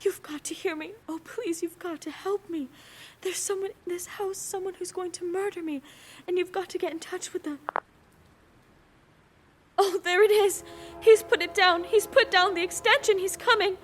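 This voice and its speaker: A woman's voice: extremely high pitch, steady tone, moderate pace, a little hiss or hum. An actress playing a nervous, demanding bedridden woman in a suspense radio play, agitated and pleading. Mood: terrified, pleading.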